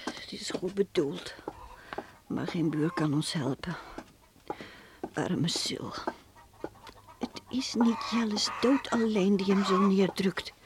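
An actress playing an anxious, devoted wife in mourning, sighing and hesitant, in a Dutch radio play. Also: female voice, on the low side. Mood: sorrowful brooding. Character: worried, grieving, devoted wife and mother. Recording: noisy old radio play recording.

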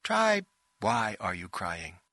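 A man's voice: fairly low pitch, wildly swooping pitch, slow, pushed and bright, dry recording, clean studio sound, heavily acted. A man voicing an imperious, exacting female ship intelligence who directs a play in an audiobook. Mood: imperious, corrective.

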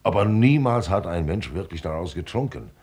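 Radio drama: gruff old tycoon, husky and low, declamatory, pompous. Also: male voice, sing-song, normal speed, a little mellow, exaggerated voice. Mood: pompous, musing. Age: older. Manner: declamatory, lecturing, blunt. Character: gruff, wealthy old millionaire father, pompous glass collector. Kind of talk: German radio play acting.

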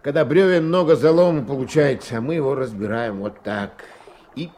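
A man's voice: medium pitch, normal speed, a little mellow, natural voice. A man playing a good-humoured, folksy, teasing log-driving workman in a radio play. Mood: easygoing, explaining.

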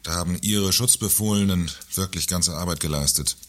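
Deep, husky, cynical company director. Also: male voice, normal speed. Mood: sarcastic. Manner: sarcastic, condescending. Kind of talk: radio play dialogue.

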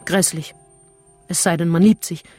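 A woman's voice: on the low side, steady tone, moderate pace, a little mellow, natural voice. Brash, flirtatious woman over music played by an actress. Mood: breezy, flippant. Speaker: radio drama actress. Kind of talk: German radio crime drama dialogue.

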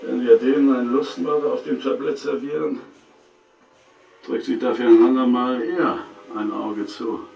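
An actor in a German radio play playing a cool, cynical schemer who talks in a deliberate, conspiratorial way. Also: male voice, medium pitch, fairly even intonation, vocal fry, warm tone, muffled recording, lightly acted. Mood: scheming, guarded. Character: calculating, shady schemer, cool and cynical man. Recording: distant, echoey pickup with music behind.

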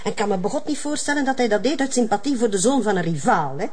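A woman's voice: medium pitch, lively intonation, fast, a little mellow, slightly rough. A woman with a Flemish accent playing an eager, persistent police colleague in a crime drama, talking in a colloquial, insistent way. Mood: confident. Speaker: actor in a detective drama. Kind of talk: naturalistic crime drama dialogue.

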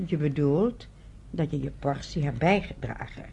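An actress playing a knowing, affectionate middle-aged pioneer's wife in a radio drama, calm in manner. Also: female voice, sits low, normal speed, vocal fry, slight background noise. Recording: dull, muffled old radio recording.

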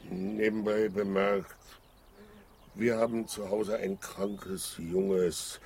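An actor playing a puzzled, hesitant, naive lion in a children's radio play. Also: male voice, lowish voice, fairly even intonation, slow, darkish tone, a little hiss or hum. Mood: hesitant, concerned. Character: slow, puzzled male lion, a worried father.

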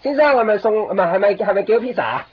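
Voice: medium pitch, rapid-fire, breathy edge, lightly acted. An actor playing an eager, bumbling pizza delivery person in a radio drama, pushy and repetitive in Hong Kong Cantonese. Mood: flustered. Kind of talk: 1990s radio drama dialogue. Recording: muffled 1990s radio drama recording.

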